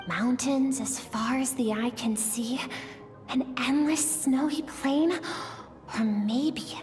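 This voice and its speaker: Young adventurous girl: high, slow, wistful, with hall reverb. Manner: wistful, musing inner monologue.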